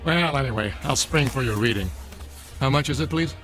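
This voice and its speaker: An actor playing a genial, urbane senior executive in a radio drama, with a teasing manner. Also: male voice, medium pitch, a little fast, fairly bright tone, soft background music, lightly acted. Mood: amused, coaxing. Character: genial, jovial senior corporate executive, gently teasing man.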